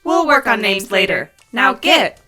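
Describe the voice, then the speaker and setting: A woman playing a bossy, sardonic alien mechanic in an audio drama, speaking briskly and sarcastically. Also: on the high side, sing-song, loud music behind the voice. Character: dividing two-headed alien plasmoid mechanic, sardonic and bossy. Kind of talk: scripted audio drama dialogue.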